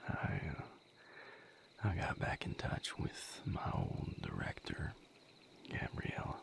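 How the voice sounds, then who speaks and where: A man playing a tender, weary vampire mate in an intimate audio roleplay, speaking softly and haltingly in a breathy, gravelly near-whisper. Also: a little hiss or hum, natural voice. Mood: fond, reflective. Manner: unhurried, halting, soft-spoken with long pauses.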